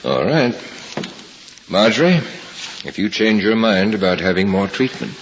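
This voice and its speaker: An actor playing a patient hospital doctor in a 1950s radio drama, earnest and gently persuading. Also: male voice, on the low side, big pitch swings, measured pace, a little hiss or hum. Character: patient, worried older male hospital doctor. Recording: muffled old radio broadcast with reverb.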